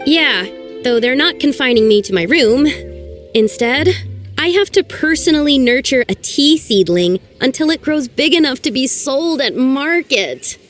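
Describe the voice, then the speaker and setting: A woman playing an earnest, ambitious young woman in a video game, with an expressive delivery. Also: high voice, a little slow, soft background music. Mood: rueful.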